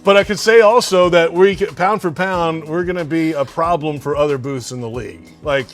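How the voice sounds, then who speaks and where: A man's voice: a little high, moderate pace, faint music behind. A man who calls football on the radio, chatting in an easygoing way with fillers and laughs. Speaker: radio play-by-play announcer. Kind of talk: casual interview.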